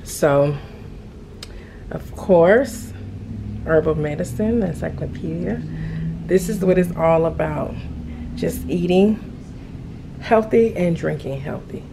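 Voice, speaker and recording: A woman's voice: fairly low pitch, takes it slowly, vocal fry, pauses often, low-quality recording. A middle-aged woman chatting casually as a lifestyle vlogger, recorded in a home kitchen on a camera microphone. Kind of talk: casual vlog chat. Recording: steady ambient noise behind voice.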